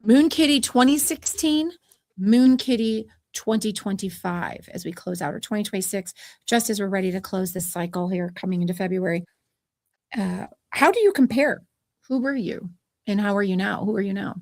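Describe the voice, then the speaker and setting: A woman's voice: mid-range voice, normal speed, a little mellow, no room sound, clean studio sound. A woman hosting a podcast interview, chatty and upbeat with a soothing voice and easy laughter. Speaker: podcast host.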